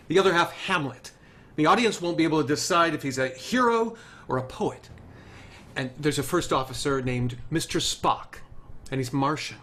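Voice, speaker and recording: An actor playing an earnest, driven male TV writer, speaking with deliberate emphasis in a screenplay table read. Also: a little high, fairly bright tone, slight background noise.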